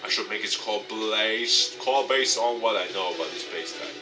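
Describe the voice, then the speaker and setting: Guy reading a visual novel out loud, kinda dramatic, game music playing behind him. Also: fairly low pitch, moderate pace. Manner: expressive, acts out dialogue. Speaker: let's player.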